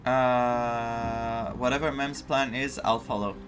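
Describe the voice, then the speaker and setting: A man with a mid-range voice reacts casually to a game story. He takes his time, commenting and reading choices aloud, with soft music behind him. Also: mid-range voice, flat delivery, slow. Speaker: gaming streamer reacting to a story playthrough.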